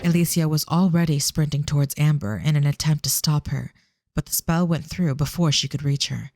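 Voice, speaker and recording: A narrator reading a scripted audio drama in a measured, descriptive storytelling manner. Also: medium pitch, steady tone, darkish tone, no room sound, studio quality.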